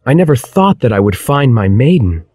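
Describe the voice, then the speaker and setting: A man voicing an affectionate, courteous young prince in an animated fairy tale. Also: mid-range voice, moderate pace, soft background music. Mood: joyful.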